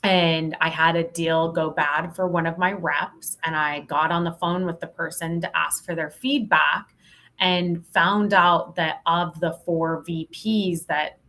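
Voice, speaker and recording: A woman's voice: fairly low pitch, fairly even intonation, unhurried, sharp tone, poor audio. A woman speaking as a podcast guest, telling work stories in a candid, emphatic, informal way. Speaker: podcast guest, sales consultant. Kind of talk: conversational interview storytelling.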